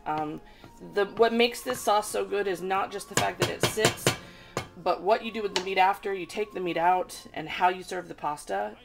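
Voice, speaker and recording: A woman with a gravelly edge to her voice walks through a home recipe as she films herself. She talks in a casual, instructive way, full of fillers. Music plays under her voice in the home kitchen. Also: medium pitch, moderate pace. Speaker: home cook filming herself. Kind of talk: casual cooking demonstration. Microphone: handheld camera. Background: food sizzling in a pan.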